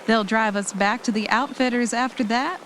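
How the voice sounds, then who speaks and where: A woman's voice: on the high side, normal speed, fairly bright tone, slight background noise, lightly acted. A woman playing an anxious but adventurous podcaster on vacation in an audio drama. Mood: upbeat, coaxing.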